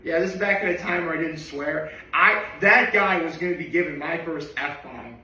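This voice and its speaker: A man's voice: on the high side, moderate pace, pushed and bright. Young male tenor vlogger, rambly and chatty, mic a bit far off in a roomy space. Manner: chatty and rambling, with many asides. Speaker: daily vlogger. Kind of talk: casual reaction vlog monologue.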